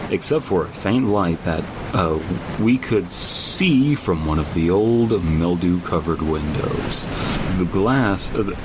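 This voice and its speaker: A man co-hosting a podcast, chatting casually and reading a horror story aloud with halting, rambling commentary. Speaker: podcast co-host. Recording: rumbling background noise throughout.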